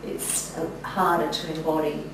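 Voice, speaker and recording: A woman's voice: sits low, little pitch movement, moderate pace. A woman who teaches yoga, speaking reflectively in a group discussion. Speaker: experienced yoga teacher in training session. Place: echoey indoor room, from a distance. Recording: very echoey room, distant mic, clear noise.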